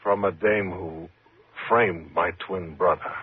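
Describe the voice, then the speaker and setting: An actor playing a calm undercover police lieutenant, polite and measured, in 1950s radio drama style. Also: male voice, sits low. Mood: cool, pointed. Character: calm undercover police lieutenant posing as night elevator man. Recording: noisy phone-like 1950s radio recording.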